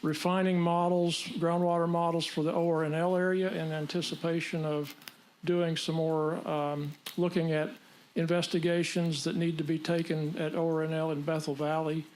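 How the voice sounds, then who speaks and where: A man with a fairly high voice gives measured, factual answers at a meeting. His pitch stays flat and even as he explains. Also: poor audio. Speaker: technical staff answering questions. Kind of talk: public meeting Q&A.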